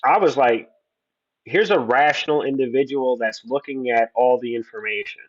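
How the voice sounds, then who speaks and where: A man's voice: mid-range voice, normal speed, dull audio. A man in his forties, a guest on a livestream, talking earnestly and at length with many restarts. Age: 40s. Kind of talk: opinionated conversation.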